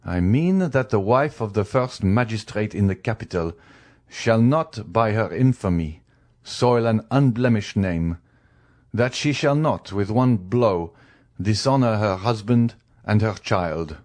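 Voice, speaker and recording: A man's voice: fairly low pitch, lively intonation, measured pace, a little mellow, voice pushed up. A man playing a stern, merciless magistrate condemning his wife in a cold, commanding, oratorical way in a dramatic story reading.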